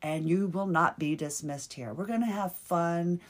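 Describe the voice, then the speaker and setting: A woman hosting her own podcast, talking earnestly and haltingly, often restarting and repeating words. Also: lowish voice. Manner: earnest and heartfelt, with bursts of laughter. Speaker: podcast host. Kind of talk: casual solo podcast monologue.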